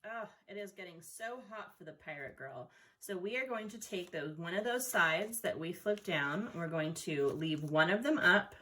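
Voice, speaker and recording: Lower-voiced woman giving craft instructions. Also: lively intonation, normal speed, hardly any pauses, bright, forceful tone. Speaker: art teacher. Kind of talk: step-by-step craft instruction for children. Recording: noticeable background noise.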